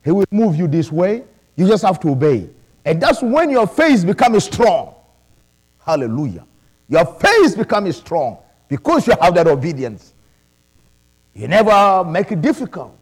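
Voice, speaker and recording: A middle-aged man who learned English later, preaching a sermon with animated calls of praise. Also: high-pitched, measured pace, breathy edge. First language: not English. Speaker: pastor.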